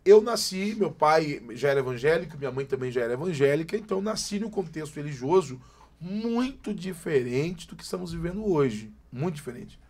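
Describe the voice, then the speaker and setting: A man's voice: on the high side, expressive, fairly quick, a little mellow. Emphatic São pastor in his forties. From Brazil, Greater São Paulo. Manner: emphatic, preacherly repetition. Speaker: pastor, podcast guest. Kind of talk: casual podcast chat.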